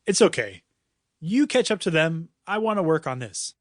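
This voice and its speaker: A man's voice: a little high, very animated, moderate pace, no echo, clean studio sound. A man voicing a distracted, apologetic boy inventor in a storybook, earnest and a little hesitant.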